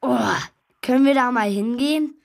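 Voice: high voice, moderate pace. A young performer playing a bewildered, polite and curious schoolboy in a children's radio play, hesitant and natural.

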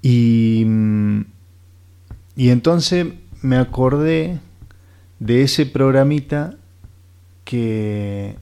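Un actor como hombre pausado y titubeante. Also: male voice, mid-range voice, mellow, clean tone. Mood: musing, nostalgic. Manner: hesitant, trailing off. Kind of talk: naturalistic radio play dialogue.

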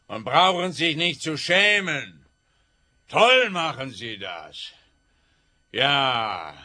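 An actor playing a scatterbrained, self-satisfied male policeman in a comic radio play, pompous and slow-witted in manner. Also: medium pitch, sharp tone, heavily acted.

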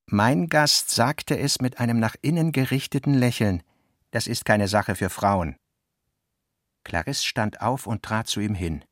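A measured, articulate male narrator played by an actor for a literary radio play. His mid-pitched voice shifts into quoted dialogue, in a very dry studio recording. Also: a bit bright, no echo, lightly acted. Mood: observant. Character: literary male narrator recounting and quoting his guest's talk.

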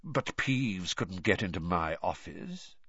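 A man's voice: deep voice, expressive, slow, fairly bright tone, husky, no echo, highs cut off, voice pushed down, full character voice. A man voicing a cold, cutting male professor who talks in clipped, snapping bursts. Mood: suspicious.

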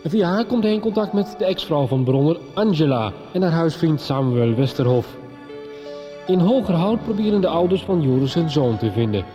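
A man's voice: fairly high pitch, natural voice. A man narrating the story so far in a radio soap, in a scripted storytelling style. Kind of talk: radio drama recap narration. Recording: dull 1990s radio recording. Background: music.

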